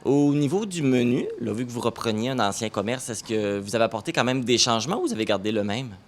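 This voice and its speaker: A man's voice: mid-range voice, lively intonation, fast. Friendly Québécois man interviewing. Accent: Québécois French. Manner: friendly, informal questioning. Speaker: local TV reporter. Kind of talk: casual interview. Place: café on the street, indoors.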